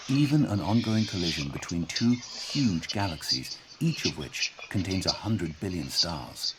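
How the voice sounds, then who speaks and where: A man's voice: low-pitched, noisy background. A man narrating an audio log, reading a scripted essay in a reflective, emphatic way.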